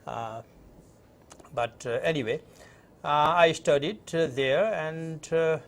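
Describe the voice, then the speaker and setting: A middle-aged man from Nepal with a Nepali accent, answering interview questions conversationally in a halting way and repeating phrases. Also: on the high side, vocal fry. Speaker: interview guest, university professor. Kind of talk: conversational interview, recounting own background.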